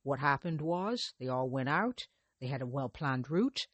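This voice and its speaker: A man's voice: medium pitch, lively intonation, unhurried, a bit bright, dry recording. A man hosting his own podcast, narrating a mystery in a conversational way with frequent restarts and hesitations. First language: not Russian. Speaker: podcast host.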